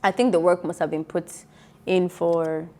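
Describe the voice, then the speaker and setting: A woman's voice: on the low side, flat delivery, normal speed, crowd noise. A young woman from Nigeria co-hosting a casual entertainment talk show, chatty and self-assured. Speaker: co-host. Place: TV studio set.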